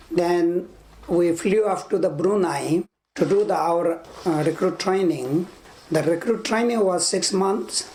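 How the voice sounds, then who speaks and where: Very slow-speaking Nepali-accented man in his fifties. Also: high-pitched, a little mellow, poor audio. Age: 50s. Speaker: interviewee, army veteran. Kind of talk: oral history interview, recounting own life.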